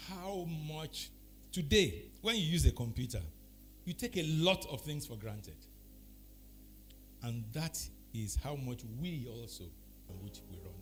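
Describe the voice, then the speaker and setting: Nigerian man explaining deliberately, music behind. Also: fairly high pitch, darkish tone. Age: middle-aged or older. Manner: deliberate, explanatory, pausing between short phrases. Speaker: speaker giving a talk. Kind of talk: anecdotal public talk.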